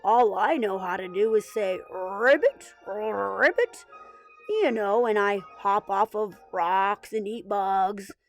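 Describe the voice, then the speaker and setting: Woman playing a friendly male frog, relaxed, chatty, faint music. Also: mid-range voice, takes it slowly. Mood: easygoing.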